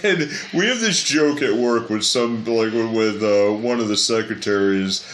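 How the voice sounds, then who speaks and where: A man's voice: lowish voice, unhurried, a bit bright. A man hosting a casual movie review podcast, laughing often as he tells stories. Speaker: podcast host. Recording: echoey room with faint noise.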